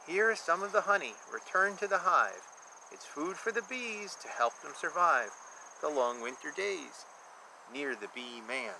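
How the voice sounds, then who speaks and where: A man's voice: a little high, animated, unhurried, vocal fry. Guy in his 50s reading a kids book outside, very up and down voice, repeats stuff simply, noisy bugs in background. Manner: simple, repetitive, emphatic explaining to young children. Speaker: children's book reader. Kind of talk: read-aloud storytelling with explanatory asides. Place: outdoor backyard beside a beehive. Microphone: camera at about arm's length. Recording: outdoor noise with insect chirps.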